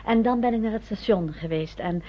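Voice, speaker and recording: Radio play: actress as a sensible, anxious French mother, mid-pitched, lo-fi vintage sound. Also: a little fast, natural voice. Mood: busy, informative. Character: practical, anxious French mother bringing news. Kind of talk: radio drama acting. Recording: dull lo-fi radio recording.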